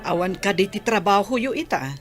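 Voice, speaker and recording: A woman's voice: mid-range voice, a little fast, over loud music, close to an everyday voice. A woman acting a bossy, fussing village auntie in an Ilocano radio drama, with a Filipino accent.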